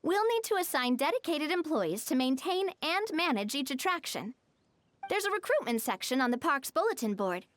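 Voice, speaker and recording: Poised, lively young noblewoman, high-voiced. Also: moderate pace. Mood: businesslike, upbeat. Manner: polished, articulate, upbeat explaining. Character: poised, cheerful young noblewoman running a theme park. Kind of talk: video game story dialogue acting. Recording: studio voice over game music.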